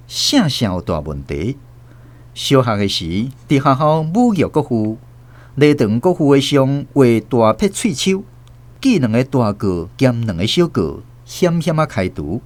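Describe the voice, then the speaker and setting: A man's voice: medium pitch, very animated, a little slow, a little mellow. A man performing as a dramatic storyteller narrating a radio drama about an informer. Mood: dramatic, grave.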